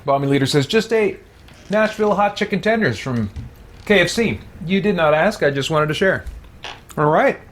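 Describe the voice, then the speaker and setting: A man's voice: a little high, expressive, moderate pace, a bit bright, slight background noise. A man hosting a roleplay let's play, talking casually with playful, theatrical asides. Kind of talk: casual roleplay game commentary.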